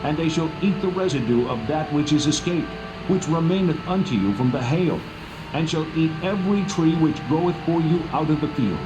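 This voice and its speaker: A man's voice: fairly high pitch, expressive, moderate pace, natural voice, poor audio. A man playing a bold prophet who delivers divine warnings in a stern, declamatory way. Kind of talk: dramatised scripture acting. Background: prominent background music.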